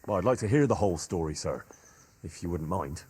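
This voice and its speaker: A man's voice: low-pitched, expressive, fairly quick, dark tone, breathy edge. A man playing an earnest, inquisitive young man-at-arms in a video game, polite and plain-spoken. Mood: respectful, curious. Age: young adult. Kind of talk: dramatic video game cutscene dialogue.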